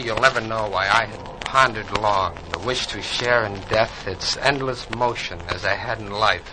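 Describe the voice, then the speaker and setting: An actor playing the calm ghost of a sea-loving navy sailor, reflective and solemn, in 1940s radio verse drama. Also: male voice, on the low side, background noise, natural voice. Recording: old 1940s radio broadcast recording. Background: musical score.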